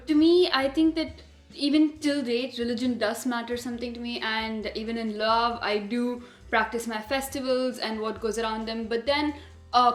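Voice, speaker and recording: An eighteen-year-old woman from India, a podcast guest who speaks hesitantly with many pauses. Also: a little high, roomy sound. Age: teens. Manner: hesitant, with frequent "uh" and "um". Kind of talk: casual personal account.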